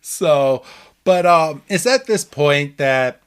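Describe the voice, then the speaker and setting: A man's voice: on the high side, lively intonation, slow, clean tone. A man hosting a podcast, chatting casually through a recap and often stammering and repeating his words as he goes. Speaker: podcast host. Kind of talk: casual chat, episode recap.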